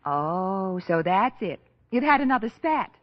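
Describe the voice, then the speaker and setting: An actress in an old-time radio drama playing a brisk, nosy society hostess, gushing and bossy. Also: female voice, mid-range voice, sing-song. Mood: exasperated, baffled. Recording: muffled old radio recording.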